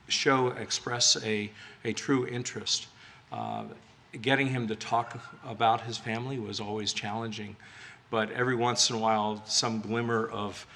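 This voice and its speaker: A man of middle age or older delivers an informal tribute at a memorial in a fairly low voice. His tone is very even and his speech is hesitant, broken by frequent pauses. Clear noise sits behind him in the live recording. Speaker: speaker giving a memorial tribute. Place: memorial gathering at a retirement community.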